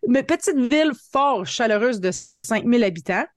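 A woman's voice: a little high, lively intonation, normal speed, no echo, clean studio sound. A woman in her thirties with a Franco-Ontarian French accent, telling her story casually and colloquially as a guest, dropping in English words. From northern Ontario, Canada. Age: 30s. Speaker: podcast guest, marketing coach.

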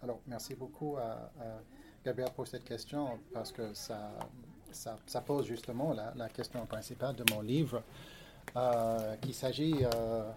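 A man speaking French as a second language, a historian on a panel, pausing often with fillers and repeating words as he reads out his prepared remarks. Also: mid-range voice, steady tone, noisy background. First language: not French. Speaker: historian and author, panel guest. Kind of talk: academic panel discussion, partly reading prepared text.

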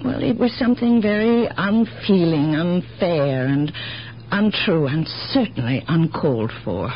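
A kindly, discreet aunt in a radio play, lowish and very expressive in pitch. She speaks with genteel reluctance on a muffled, humming old broadcast. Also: slight background noise. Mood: reluctant. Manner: genteel, hedging, halting. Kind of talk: radio drama acting.

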